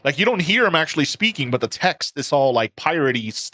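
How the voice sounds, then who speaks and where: A man's voice: on the high side, big pitch swings, a little fast, bright, forceful tone, no echo. Man with a dry sound. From Puerto Rico. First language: Spanish. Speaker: guest gamer. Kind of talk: casual chat on a stream.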